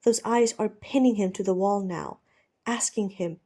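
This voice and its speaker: A woman narrating fiction as an audiobook reader, measured and expressive, with a dramatic storytelling style. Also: mid-range voice.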